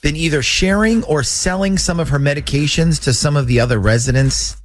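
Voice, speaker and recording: A man from a radio show making a prank phone call, talking in a calm, matter-of-fact way with hesitant fillers. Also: on the high side, brisk.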